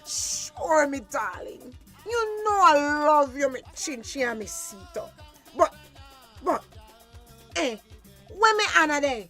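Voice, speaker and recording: Saucy, teasing woman, Belizean Creole, very high bright voice, drawn-out playful delivery, bold. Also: big pitch swings, soft background music, full character voice. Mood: flirty, bossy. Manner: playful, sassy. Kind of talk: radio drama acting.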